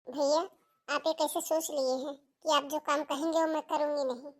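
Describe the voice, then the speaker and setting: A very high, young schoolgirl voice, acted by a woman for a cartoon melodrama. She is earnest and plaintive, sounding close to tears as she pleads. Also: fairly even intonation, normal speed, natural voice. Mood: hurt. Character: tearful young schoolgirl pleading to keep studying. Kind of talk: Hindi cartoon family melodrama acting.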